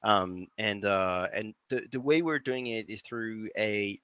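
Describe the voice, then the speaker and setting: Male guest, French accent, low but very bright voice, conversational executive explaining things. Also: slow, smooth, no echo, highs cut off. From France. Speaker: interview guest, company CEO. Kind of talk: conversational interview answers.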